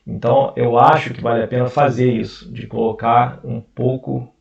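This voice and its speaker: Carioca man, gravelly voice, hesitant casual explainer, mid-pitched, recorded in a roomy space from a distance on a dull mic. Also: little pitch movement, normal speed. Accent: Carioca Portuguese. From Brazil. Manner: hesitant, many fillers and restarts. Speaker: investment channel host. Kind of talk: casual screen-share explainer.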